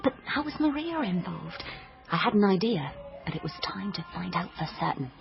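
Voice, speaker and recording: A British actress playing a self-important, overconfident amateur sleuth, narrating a radio comedy drama in melodramatic hardboiled style. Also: female voice, lowish voice, very animated, normal speed, gravelly, muffled recording. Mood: hardboiled, intent. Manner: melodramatic, pompous. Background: prominent music.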